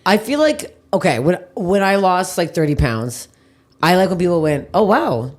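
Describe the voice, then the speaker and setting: A woman comedian, a guest on a podcast, chatting bluntly and jokily, with quick asides and free swearing. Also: lowish voice, big pitch swings. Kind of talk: casual chat.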